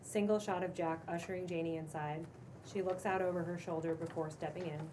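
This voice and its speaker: A woman's voice: on the low side, fairly even intonation, a little fast. A woman narrating the stage directions of a script at a live table read, in a noisy recording.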